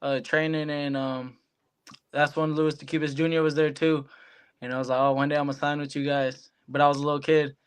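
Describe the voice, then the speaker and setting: A man's voice: a little high, little pitch movement, fairly quick, clear, resonant tone. A young American man giving casual interview answers as a guest athlete, in colloquial, laid-back phrasing. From Hawaii, United States. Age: young adult. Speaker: professional boxer, guest.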